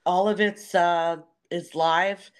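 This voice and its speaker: Low-key explanatory woman in interview. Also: fairly even intonation, slow, clean tone. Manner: conversational, explanatory. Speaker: baseball stadium announcer. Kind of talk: casual interview answers.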